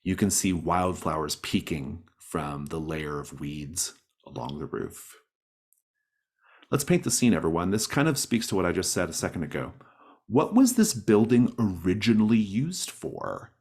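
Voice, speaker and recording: A man's voice: sits low, very animated, measured pace, a little mellow, husky. A man working as game master, narrating and reading rules conversationally while guiding players with encouraging questions. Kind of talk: narrating a tabletop roleplaying game, part read from rules and part conversational.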